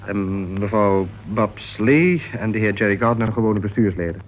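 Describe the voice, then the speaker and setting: An actor playing a deferential, matter-of-fact police sergeant in a Dutch radio play. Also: male voice, on the low side, normal speed, natural voice. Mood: dutiful, earnest. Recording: dull, noisy old radio play recording.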